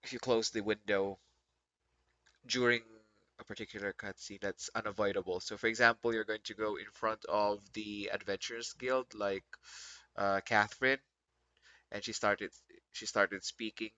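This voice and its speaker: A man's voice: on the low side, steady tone, moderate pace, sharp tone. A man chatting casually as he livestreams a video game, rambling and often rephrasing himself. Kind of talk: casual live stream chat. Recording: muffled lo-fi mic, faint music behind.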